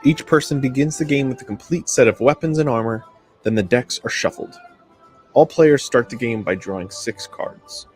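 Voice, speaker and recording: A man delivers scripted narration in the manner of a promotional explainer video. His voice sits at a medium pitch. Music plays behind him. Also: normal speed, a little mellow.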